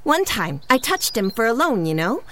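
A woman's voice: a little high, very animated, normal speed, a bit bright. A woman playing an offhand, exasperated and slangy character chatting in a comedic audio drama mock interview. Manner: slangy, casual, eye-rolling. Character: offhand, exasperated chatty female acquaintance of a superhero.

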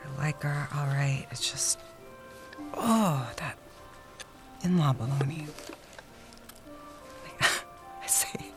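A woman's voice: low-pitched, extremely slow, long pauses, exaggerated voice. A woman playing a restless young wife who whispers wry confessions into a recorder. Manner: hushed confiding whisper with wry laughs. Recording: music playing under the voice.

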